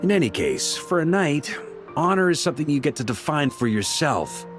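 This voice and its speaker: An actor playing a relaxed, friendly knight commander who talks in a reflective way. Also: male voice, on the high side, normal speed, natural voice. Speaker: knight back from a long expedition. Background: soft game music.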